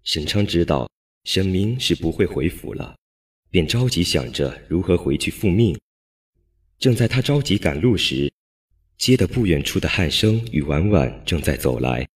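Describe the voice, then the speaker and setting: Deep voiced guy narrating an audio drama, storytelling style. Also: sing-song, moderate pace.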